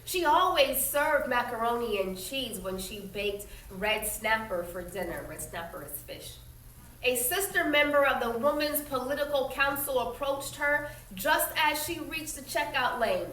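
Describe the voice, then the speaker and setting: A woman teacher reading a storybook aloud expressively to young pupils, emphatic and instructive, pausing to explain and ask questions. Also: high voice, a bit bright. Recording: echoey room, distant mic, faint noise.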